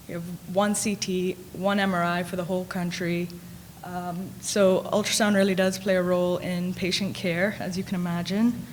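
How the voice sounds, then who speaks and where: A woman's voice: mid-range voice, a little hiss or hum. A young woman from the Caribbean, a radiology resident, giving a friendly conference talk with light humor. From Caribbean, grew up in Grenada. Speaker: radiology resident, panel speaker. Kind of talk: conference presentation. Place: conference hall.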